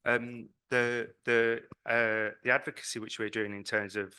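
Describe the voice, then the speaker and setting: A man's voice: medium pitch, flat delivery, measured pace, pushed and bright, smooth. A man from Britain with a British accent, presenting at a conference in an earnest, emphatic way as an advocate. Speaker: patient advocacy representative speaking at a conference. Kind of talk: conference presentation, semi-spontaneous.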